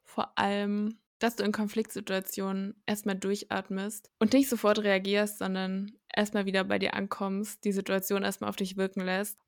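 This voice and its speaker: A woman's voice: mid-range voice, little pitch movement, normal speed, few pauses, dry recording, clean studio sound. A young woman co-hosting a podcast in a casual, supportive way, guiding the conversation in an orderly manner. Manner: supportive, structured, moderating. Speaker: podcast co-host.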